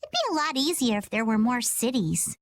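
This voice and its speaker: A woman's voice: fairly high pitch, big pitch swings, fairly quick. An actress playing a fearless, cheeky little girl adventurer in a video game, with a chirpy delivery. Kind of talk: video game cutscene acting.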